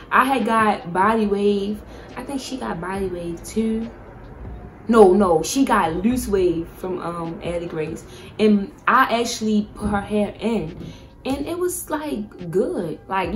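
A young woman vlogger giving a casual, chatty product review, speaking candidly with plenty of slang and swearing. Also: mid-range voice. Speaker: beauty vlogger.

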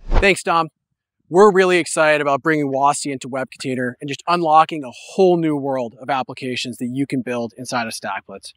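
A man's voice: mid-range voice, brisk, a bit bright, no room sound. A young man hosts a keynote outdoors on a hillside trail, speaking enthusiastically and gesturing as he talks into a lapel mic. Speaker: host. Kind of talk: scripted keynote presentation.

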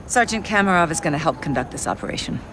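An actor playing a brisk, authoritative intelligence case officer in a video game, with businesslike delivery. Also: mid-range voice, animated, lightly acted. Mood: businesslike, urgent. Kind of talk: video game cutscene acting. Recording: outdoor airfield ambience noise.

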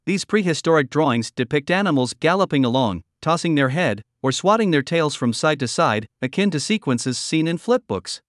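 A man reading a scripted documentary narration in an even, measured way. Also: a little high, dry recording, studio quality.

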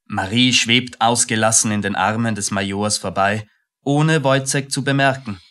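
A man's voice: lowish voice, normal speed, pushed and bright. A man reading scripted narration for a radio play.

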